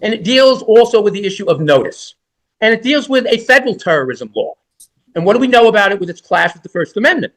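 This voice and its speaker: A man's voice: high-pitched, big pitch swings, quick pace, fairly bright tone, rough recording. A man in his sixties, a defense lawyer, making an oral argument in court in an emphatic, rhetorical and repetitive way.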